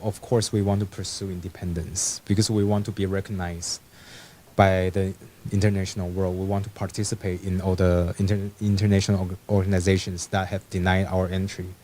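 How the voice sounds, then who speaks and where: Young man, low voice, animated answer. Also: normal speed, a little hiss or hum. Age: young adult. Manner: animated, with restarts. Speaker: interviewee. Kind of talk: casual interview answer.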